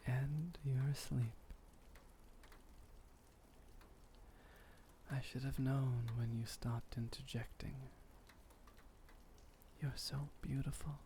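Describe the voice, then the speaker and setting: A man acting as a centuries-old vampire lover speaks very slowly and softly, with a gravelly creak in his voice. He is gentle, melancholy and formal, musing as if at a bedside in an intimate ASMR roleplay. Faint noise sits behind him. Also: medium pitch. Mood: tender, hushed. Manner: soft-spoken, unhurried, formal old-fashioned phrasing, musing.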